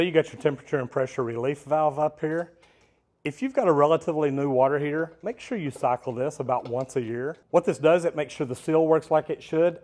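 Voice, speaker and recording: A man's voice: medium pitch, darkish tone. A middle-aged man, a plumber, giving a hands-on instructional demonstration in a metal-walled storage unit, talking practically through a lapel mic. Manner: plain-spoken, practical, addresses viewers as "guys".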